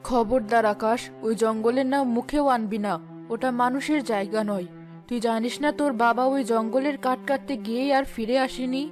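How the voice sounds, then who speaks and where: Woman playing high-voiced, ailing, plaintive mother. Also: steady tone, fairly quick, over music, close to an everyday voice. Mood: alarmed. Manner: plaintive, fatalistic. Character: poor, gravely ill village mother, despairing, protective. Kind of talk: cartoon drama dialogue.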